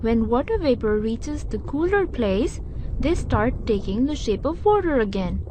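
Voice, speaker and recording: A woman voicing a lively, knowledgeable young cartoon guide who explains things expressively to a child. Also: on the high side, unhurried, slight background noise. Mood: explaining. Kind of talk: scripted children's educational cartoon dialogue.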